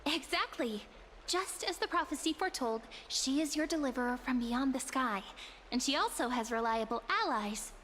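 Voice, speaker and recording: A woman's voice: high-pitched, expressive, moderate pace, fairly bright tone, a little hiss or hum. A woman playing an earnest, respectful young female ally in a video game, speaking politely and with care. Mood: hopeful, earnest.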